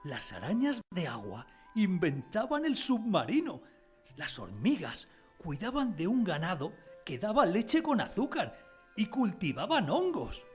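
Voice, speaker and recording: A man playing a narrator who fondly recalls his village schooldays, in expressive scripted radio drama storytelling. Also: high voice, unhurried, faint music behind, heavily acted. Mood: absorbed, wondering.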